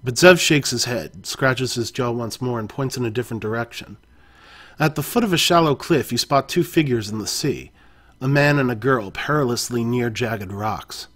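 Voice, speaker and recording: Man, husky voice, mid-pitched, second-person story reading, occasional self-corrections. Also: normal speed, a bit bright. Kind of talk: reading an interactive adventure story aloud.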